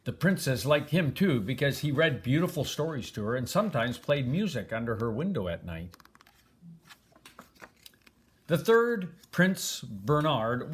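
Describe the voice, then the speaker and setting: An older man reading a children's storybook aloud in an expressive, grandfatherly way, stressing and stretching words. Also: mid-range voice.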